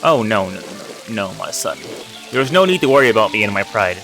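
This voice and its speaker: Man reading as a gentle, fatherly old monk. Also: lowish voice, animated, brisk. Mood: proud, humble. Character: kindly old monk mentor, humble and fatherly. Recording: music under the voice.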